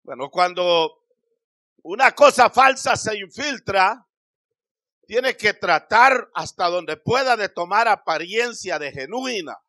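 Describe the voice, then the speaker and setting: A middle-aged man preaching a sermon in a small church hall, speaking into a handheld microphone with emphatic, drawn-out phrases that rise to shouts. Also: sits high, lively intonation. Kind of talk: Spanish-language Pentecostal sermon.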